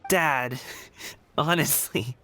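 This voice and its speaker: A man's voice: a little high, extremely slow, bright, forceful tone, heavily acted. An actor playing an earnest, modest young man in a video game, a devoted son who speaks hesitantly and bashfully. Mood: fond, lighthearted. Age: young adult.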